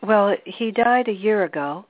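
Calm older woman guest over a phone line. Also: medium pitch, dry recording. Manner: calm, articulate, measured storytelling. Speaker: spiritual teacher, guest. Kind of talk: radio interview. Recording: dry, tinny phone line.

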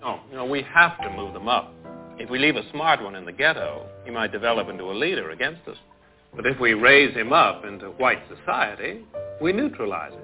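A calculating male power broker in a film drama, speaks with smooth cynicism and a widely swinging, animated pitch. The sound is thin and phone-like, with music underneath. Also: a little fast. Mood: calculating, persuasive. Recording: muffled phone-like film clip over music.

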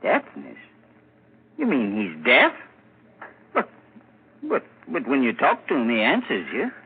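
An actor playing an easygoing, plain-spoken police constable in a 1940s radio drama, folksy and matter-of-fact. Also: male voice, medium pitch, wildly swooping pitch, unhurried. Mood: puzzled. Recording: crackly, narrow-band 1940s radio broadcast.

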